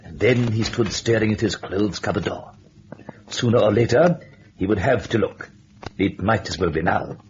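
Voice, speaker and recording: A man's voice: on the low side, moderate pace, a little hiss or hum. A man giving suspenseful, dramatic story narration for radio in a theatrical, rhetorical way. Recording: dull old radio recording, music behind. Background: music.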